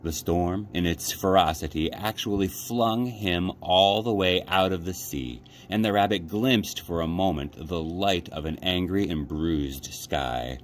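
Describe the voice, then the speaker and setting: Deep-voiced man reading a storybook, background noise. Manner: measured, dramatic phrasing. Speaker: library storyteller. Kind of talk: children's audiobook-style read-aloud.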